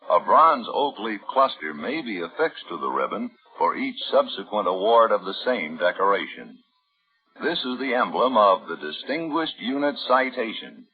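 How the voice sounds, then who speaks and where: A man's voice: lowish voice, breathy edge. A man announcing in a formal, solemn 1950s scripted radio style. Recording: tinny old radio broadcast with music.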